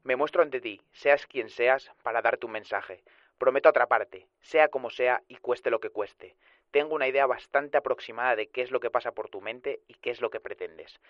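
A man's voice: mid-range voice, normal speed, dry recording, natural voice. A man playing a resolute detective making a formal televised challenge in a radio drama, firm and declamatory. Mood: defiant, solemn. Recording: dull, lo-fi television broadcast sound.

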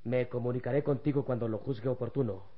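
Husky, arrogant radio villain played by a man. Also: mid-range voice, steady tone, fast, natural voice. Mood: commanding. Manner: imperious, self-satisfied. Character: arrogant, gloating male crime boss. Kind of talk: old radio serial acting. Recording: dull old radio serial recording.

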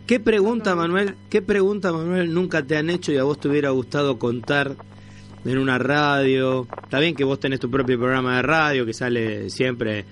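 A man with a Rioplatense Argentine accent hosting a casual radio interview, attentive and quick to chime in. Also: mid-range voice, fairly even intonation, fairly bright tone, a little hiss or hum. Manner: attentive, frequently interjecting short acknowledgements.

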